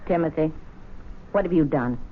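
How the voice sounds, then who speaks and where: An actress playing a nagging, suspicious older wife in a radio drama, scolding and asking pointed questions in a dry manner. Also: female voice, low-pitched, moderate pace, slight background noise, lightly acted. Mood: suspicious, foreboding. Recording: tinny old radio broadcast.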